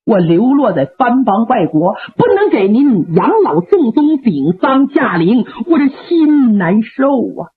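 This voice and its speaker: A tearful young warrior son, acted by a woman storyteller, pleading in a raised, sobbing voice with lively swings of pitch. The husky sound comes through thin and phone-like. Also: measured pace, hardly any pauses, heavily acted. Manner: weeping, pleading, high-strung. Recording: tinny phone-like radio recording.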